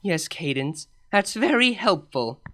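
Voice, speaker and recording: A woman's voice: lowish voice, big pitch swings, measured pace, a bit bright. A woman voicing a weary, regal pony princess and mentor in a comic storybook, exasperated, sardonic and dramatic.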